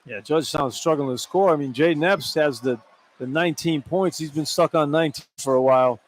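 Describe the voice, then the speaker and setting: A man's voice: on the high side, a little fast. A man working as a radio analyst, explaining plays in a conversational way during live basketball commentary. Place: courtside in a large indoor arena. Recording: live arena broadcast with background noise.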